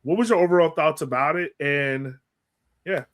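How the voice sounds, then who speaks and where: A man's voice: on the high side, normal speed, fairly bright tone. A man hosting a sports podcast, giving opinions in casual conversation and tossing in rhetorical questions. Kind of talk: casual sports chat, opinionated game breakdown.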